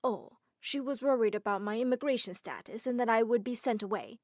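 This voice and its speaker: A woman voicing a young male makeup artist who explains himself in a relaxed way. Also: a little high, brisk, no echo, studio quality, close to an everyday voice. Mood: explaining.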